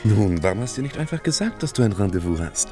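An actor playing a scheming young suitor in a radio play, glib and persuasive in manner. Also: male voice, lowish voice, sing-song, quick pace, mellow, faint music behind. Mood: persuasive, blunt. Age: young adult. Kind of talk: German radio play acting.

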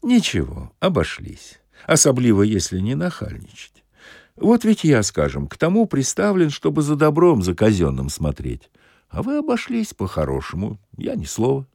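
A man's voice: mid-range voice, huge pitch swings, moderate pace, vocal fry, dark tone, no echo, clean studio sound, pitched above the performer's own voice, full character voice. A man voicing a curious, credulous village watchman with rustic, eager speech in a satirical audiobook story. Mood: matter-of-fact.

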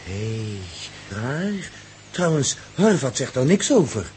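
An actor playing an excitable, irritable spaceship crewman with fervent, emphatic delivery in a 1960s Dutch radio drama. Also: mid-range voice, slow pace, a little hiss or hum. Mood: puzzled, irritable. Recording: old 1960s radio recording.

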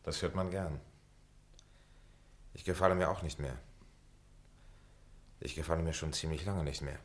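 A disillusioned middle-aged man in a radio play. The voice sits very low. He is dry and brooding, with bitter sarcasm and a weariness of himself. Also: normal speed. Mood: wry, weary. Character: bitter, sardonic middle-aged former pupil, weary of himself. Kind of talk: German literary radio play acting.

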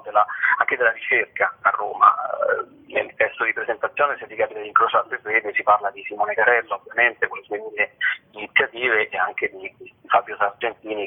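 Husky man on a muffled phone line. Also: fairly low pitch. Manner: halting, searching for words, repeating himself. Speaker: interview guest, festival participant and writer. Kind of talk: informal spoken interview. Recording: narrow, muffled telephone line.

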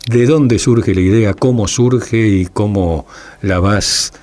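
A man hosting an interview, asking a long, elaborately phrased question in a measured way. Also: lowish voice, a little mellow. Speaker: interview host.

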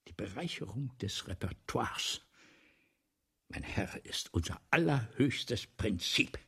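An actor playing an excitable, domineering, grandiose playwright in a German radio play, breathy and theatrical, often lapsing into whispers. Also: male voice, fairly low pitch, slow, full character voice. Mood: rapturous, grandiose. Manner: theatrical, pompous, insistent.

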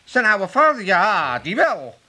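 A man's voice: sits high, sing-song, slow pace, sharp tone, full character voice. An actor playing a gullible, scheming, muddled old working-class father with an Amsterdam accent in a comic radio play. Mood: greedy, wheedling. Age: older.

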